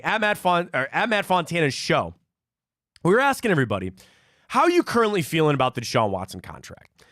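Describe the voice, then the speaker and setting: A sports talk host from Cleveland with a high voice that swoops all over as he tells stories and repeats his points. The sound is dry and close, with little room around it. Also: no room sound. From Cleveland, Ohio. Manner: animated storyteller, repeats himself. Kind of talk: live sports talk show.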